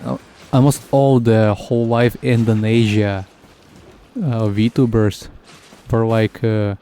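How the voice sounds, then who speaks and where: A man who is a native Russian speaker, streaming a game with casual, halting, jokey commentary. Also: lowish voice, warm tone, a little hiss or hum.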